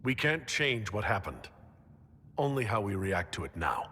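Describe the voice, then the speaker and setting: An actor playing a defiant, weary leader of a fantasy order in a video game, with earnest, emphatic delivery. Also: male voice, fairly low pitch, big pitch swings, measured pace, bright, forceful tone, breathy edge, heavily acted. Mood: subdued. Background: music.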